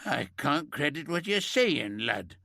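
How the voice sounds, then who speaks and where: A man's voice: very animated, takes it slowly, creaky, dry recording, clean studio sound, heavily acted. A man doing a grieving old Yorkshire ex-miner. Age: older. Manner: broad and homely, higher and warmer than the narrator.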